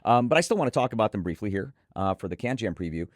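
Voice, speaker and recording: Male review host, lower register, emphatic but conversational delivery, close dry sound. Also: very animated, fast, dry recording.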